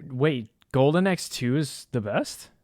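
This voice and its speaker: A man's voice: mid-range voice, expressive, slow, darkish tone, no echo, studio quality. A man co-hosting a podcast, bantering in a teasing, mock-indignant way. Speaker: podcast co-host.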